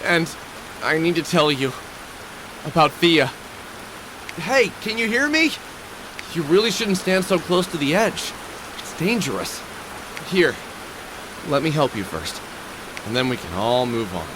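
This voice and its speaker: A man's voice: a little high, sing-song, a little slow, long pauses, noisy background. An actor playing a weary, wry middle-aged man haunted by lost love, musing aloud in a video game. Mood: urgent concern. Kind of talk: video game voice acting, inner monologue and dialogue.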